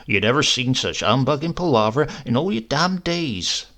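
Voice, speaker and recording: A man's voice: mid-range voice, big pitch swings, moderate pace, fairly bright tone. A man voicing a sly old countryman uncle, drawling and earthy, with a mock-meek air. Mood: grumbling.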